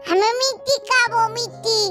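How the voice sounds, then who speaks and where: A storyteller voicing an excitable, demanding small child doll with babyish, repetitive pleading. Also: very high-pitched, normal speed, soft background music, pitched above the performer's own voice. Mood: whining.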